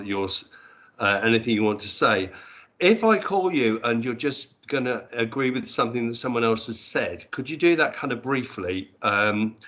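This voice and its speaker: A man chairing an online roundtable discussion in a courteous, brisk way, calling on people and keeping things moving. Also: medium pitch, a bit bright. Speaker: meeting chair.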